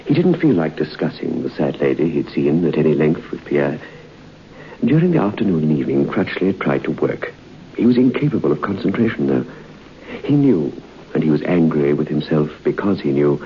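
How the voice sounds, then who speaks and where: Man narrating a spooky old radio story, super deep gravelly voice, muffled and hissy. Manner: measured, suspenseful storytelling. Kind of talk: scripted narration. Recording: muffled old radio transcription with faint hiss.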